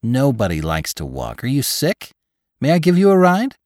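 Man playing a high, lifted-voiced helpful motorist. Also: wildly swooping pitch, normal speed, darkish tone, no room sound, clean studio sound. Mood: helpful, puzzled. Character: friendly, puzzled passing motorist, a helpful man.

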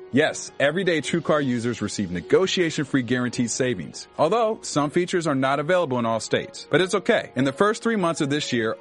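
A man reading an upbeat sponsored ad in a peppy, salesy way. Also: medium pitch. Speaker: ad presenter. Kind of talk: upbeat ad read.

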